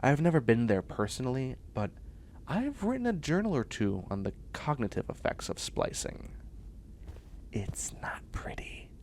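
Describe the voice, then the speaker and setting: A man's voice: fairly low pitch, vocal fry, a little mellow, a little hiss or hum. A man playing a nerdy, self-assured young bioengineering student in a comedic sci-fi audio roleplay, chatty, snarky and boastful. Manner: chatty, snarky, boastful, muttering asides and exclamations.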